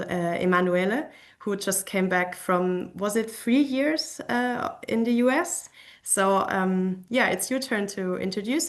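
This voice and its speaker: A woman moderating a webinar panel, thanking speakers and handing over the turn. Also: medium pitch. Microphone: video call.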